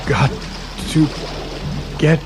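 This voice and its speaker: An actor playing a male secret agent struggling underwater in an audio storybook, moaning in a gravelly voice. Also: very slow. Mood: desperate, groggy.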